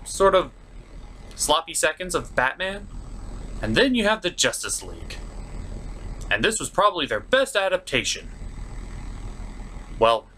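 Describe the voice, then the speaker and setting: A man's voice: a little high, big pitch swings, unhurried, long pauses, sharp tone, a little hiss or hum. A man hosting a scripted comic review show, speaking in a sarcastic, theatrical way. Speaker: host of a puppet cartoon review show.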